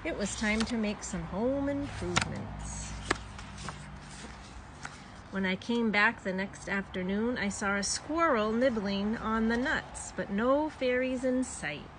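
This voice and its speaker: A woman's voice: on the high side, takes it slowly, fairly bright tone, slight background noise, low-quality recording. A woman reading a children's story aloud expressively, drawing out words for young listeners.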